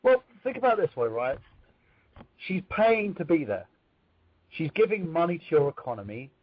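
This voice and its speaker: Blunt, husky male co-host. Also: fairly high pitch, big pitch swings, normal speed. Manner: blunt, swears freely. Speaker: show regular. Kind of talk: casual chat. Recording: dull, dry lo-fi sound.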